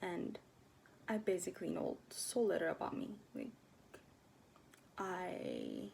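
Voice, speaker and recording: A woman in her twenties from Ethiopia talking casually to her camera, soft-spoken and hesitant, often dropping to near-whispers. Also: on the low side, wildly swooping pitch, slow pace, gravelly, slight background noise. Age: 20s. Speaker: vlogger. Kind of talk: casual personal talk to camera.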